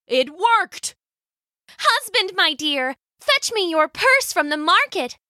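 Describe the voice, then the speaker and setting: Storybook role: affectionate old farmer's wife, high and excitable, acted by a woman, bright tone. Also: a little slow, dry recording, studio quality, full character voice. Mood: excited. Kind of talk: children's storybook character voice.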